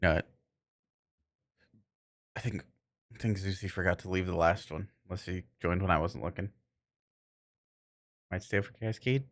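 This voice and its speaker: Man, low voice, game livestreamer, casual rambling chat, searching for words. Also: unhurried, long pauses. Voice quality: cannot roll R's.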